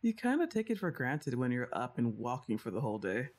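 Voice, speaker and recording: A young woman's voice that moves up and down a lot as she speaks, in a teasing, bossy-but-playful way. She sighs and laughs softly between lines while she talks straight to the listener in a scripted ASMR roleplay. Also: extremely low pitch, sing-song, fairly quick.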